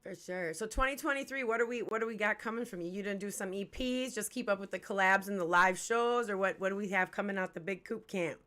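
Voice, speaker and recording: A woman's voice: mid-range voice, fast, few pauses, a bit bright. A woman in her forties hosting a casual hip-hop interview livestream, chatty, candid and slangy, swearing freely. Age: 40s. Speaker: host of a music review livestream.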